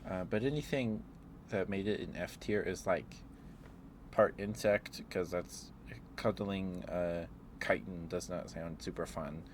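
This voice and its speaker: A man with a very low voice rambles through a casual ASMR stream. He speaks slowly and hesitantly, circling around his words. Clear background noise sits behind him.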